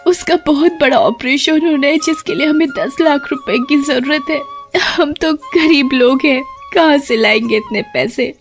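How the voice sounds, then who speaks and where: A poor, distraught woman from an animated tale, acted by a female performer. She pleads in a high voice, full of desperate need. Faint music plays behind her. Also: unhurried. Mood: tearful. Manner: pleading, tearful. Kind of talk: animated Hindi story acting.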